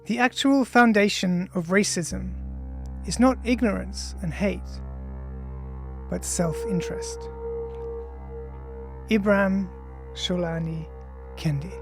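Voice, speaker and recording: Measured, formal audiobook reader over music. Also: medium pitch. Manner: measured, formal, deliberate phrasing. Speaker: author reading own audiobook. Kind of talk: scripted audiobook narration of an essay.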